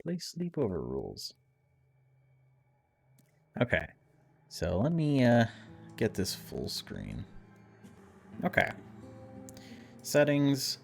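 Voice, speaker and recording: A man with a low voice plays through a game for viewers. He reads the text aloud and comments on it in an informal, chatty way, dropping wry remarks as he goes. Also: creaky. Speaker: video game streamer. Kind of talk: casual let's-play commentary mixed with reading game text aloud.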